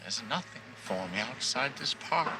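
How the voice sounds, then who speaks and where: A man's voice: mid-range voice, lively intonation, normal speed, music in the background, close to an everyday voice, poor audio. An actor playing a resentful male inventor in a 1970s TV movie, brooding and menacing. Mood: bitter. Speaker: film character, park inventor. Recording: film clip with score and outdoor noise.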